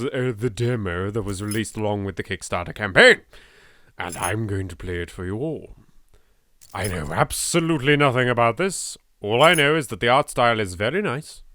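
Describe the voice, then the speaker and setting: A man's voice: mid-range voice, bright, forceful tone, dry recording, studio quality. A British man giving casual, sweary and apologetic commentary as he plays a game on video. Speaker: gaming video host. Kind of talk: casual let's play commentary.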